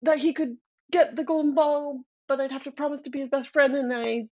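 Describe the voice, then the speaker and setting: A woman voicing a scared, whiny young princess who rushes through a nervous confession. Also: high voice, steady tone, a little mellow, dry recording, muffled recording, pitched above the performer's own voice, natural voice. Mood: anxious.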